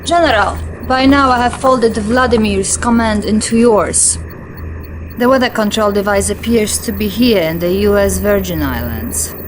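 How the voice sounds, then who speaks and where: An actress playing a loyal, composed Soviet intelligence lieutenant with a Russian accent, briefing dutifully in a game cutscene. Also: female voice, medium pitch, normal speed, noisy background, natural voice.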